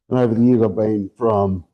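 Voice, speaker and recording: A man's voice: fairly low pitch, highs cut off. A man introducing himself in a wry, laughing way at a club meeting. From Australia. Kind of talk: casual self-introduction.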